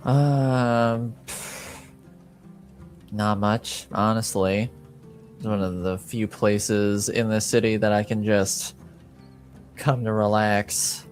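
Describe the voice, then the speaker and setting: A man's voice: lowish voice, fairly even intonation, slow, long pauses, soft background music. A man voicing a reserved young elven woman in a tabletop game, with a hesitant, understated delivery. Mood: guarded, relaxed.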